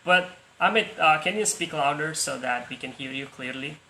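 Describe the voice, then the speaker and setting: A man's voice: fairly high pitch, moderate pace, sharp tone, echoey room, poor audio. A young man with an Indonesian accent, hosting a casual practice video call and talking in a hesitant way with frequent restarts. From Palu, Central Sulawesi, Indonesia. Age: young adult. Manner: hesitant, restarts often, laughs easily.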